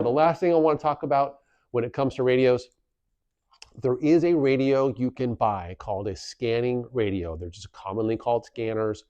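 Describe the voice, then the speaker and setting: A man's voice: medium pitch, warm tone, clean tone, dry recording, highs cut off. A man in his forties explaining things plainly and reassuringly, like an informal instructor on a how-to video, on a clip-on mic. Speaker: instructor presenting a community video series. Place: small plain office room, indoors. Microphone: lavalier clipped at the collar.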